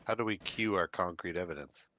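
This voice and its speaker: A man's voice: low-pitched, brisk, slight background noise. A man playing a tabletop game, chatting casually and wisecracking with the group. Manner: animated, wisecracking. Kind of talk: casual joking table chat.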